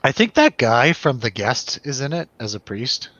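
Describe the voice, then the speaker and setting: A man with a bright, forward voice talks casually about films among friends. He is informal and prone to exclaiming and restarting. The sound is dry and a little dull. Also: mid-range voice, big pitch swings, normal speed, no room sound. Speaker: film review show host. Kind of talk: casual film discussion with friends.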